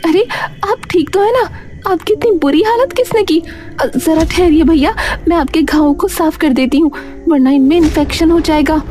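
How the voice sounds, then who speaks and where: A kind, distressed cartoon village wife acted by a woman, very high in pitch and earnestly imploring. Light music runs underneath. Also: moderate pace, mellow. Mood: concerned. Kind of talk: cartoon story dialogue.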